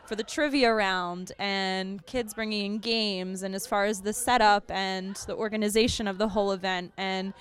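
A woman's voice: medium pitch, fairly even intonation, moderate pace, hardly any pauses, clean tone. Enthusiastic woman praising student council. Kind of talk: on-camera interview. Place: school hallway, indoors. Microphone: handheld mic.

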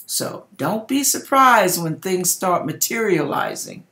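A woman's voice: lowish voice, animated, takes it slowly, bright, forceful tone. A middle-aged woman telling stories in a theatrical, emphatic way, as an online host doing dramatic readings and commentary in her kitchen. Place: home kitchen, indoors. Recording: echoey kitchen room sound.

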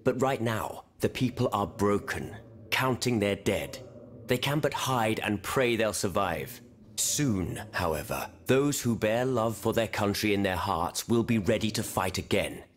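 Game revolutionary: lowish voice, wary yet fervent, formal, eloquent rhetoric. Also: male voice, animated, unhurried, a little hiss or hum. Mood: stirring, persuasive. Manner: formal, rhetorical, sprinkled with French phrases. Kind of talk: video game cutscene acting.

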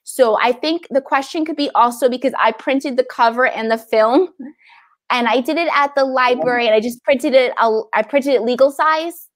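A woman's voice: high-pitched, moderate pace. A woman in her thirties hosting a casual online library event in a chatty, upbeat way over an earphone headset. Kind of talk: casual interview chat. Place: indoor room, video call. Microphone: earphone headset on video call.

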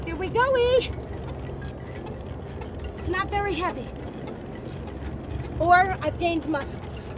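Loud, excitable New woman. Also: very high voice, slow, long pauses, noisy background. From New Jersey. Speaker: cooking show host. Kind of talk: reality show chatter to camera. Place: fishing boat on the ocean, outdoors. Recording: music playing loudly under the voice.

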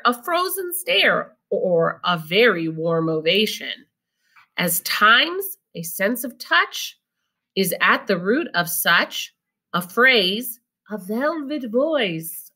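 A middle-aged woman reading a picture book aloud in an instructive, deliberate way, stopping to explain. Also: mid-range voice, gravelly, sharp tone. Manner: instructive, deliberate, emphasizing key phrases.

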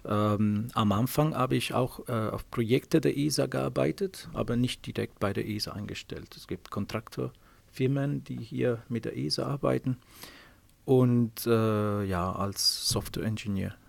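A middle-aged Italian man explains his work in halting German, stopping often with filler sounds between phrases. His voice is gravelly and mid-pitched, with a strong Italian accent. The sound is close and dry, with almost no room in it. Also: dry recording. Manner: hesitant, many filler sounds. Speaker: space mission software engineer. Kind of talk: interview answers in non-native German. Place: office at a space operations centre.

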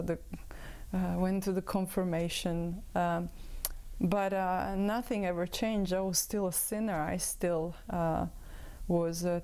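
A woman in her thirties from Croatia, a native Croatian speaker, giving her personal testimony as a guest with many pauses and fillers. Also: medium pitch, a little mellow, clear, resonant tone. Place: room with bookshelves. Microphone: lapel mic.

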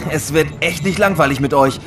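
A man's voice: on the high side, brisk, distant mic, natural voice. An actor playing a flippant, laid-back young male crewmate in a sci-fi audio drama. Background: music.

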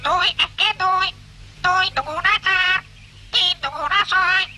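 A woman's voice: very high voice, fairly even intonation, takes it slowly, pushed and bright, a little hiss or hum, full character voice. A woman voicing a friendly, clever pet parrot in a cartoon, squawky and singsong as it mimics speech. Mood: singsong reciting. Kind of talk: animated cartoon voice acting. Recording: music under the voice.

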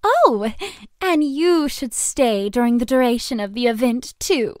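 An actress plays a spoiled young heiress in a voiced visual novel. Her voice is high, bright and very animated, with a haughty, condescending edge. The recording is close and dry. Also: unhurried, no room sound. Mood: demanding, condescending. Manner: imperious, condescending.

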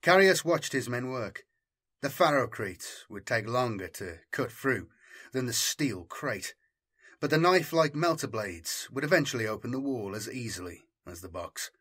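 A man reading a military science fiction story as dramatic scripted narration, in a measured, formal storytelling manner. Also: medium pitch, lively intonation, fairly bright tone, husky. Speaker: audiobook narrator.

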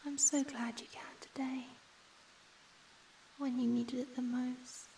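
A woman voicing a shy, gentle young ghost in a soft, breathy whisper for an ASMR comfort roleplay. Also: high-pitched, level tone, far from the mic, slight background noise, full character voice. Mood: shy, affectionate. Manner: slow, tender, hesitant.

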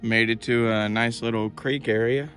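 A man's voice: mid-range voice, steady tone, moderate pace, a bit bright, soft background music. A young man with a West Virginian accent, narrating a casual hiking vlog outdoors on a wooded trail, easygoing and chatty. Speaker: vlogger.

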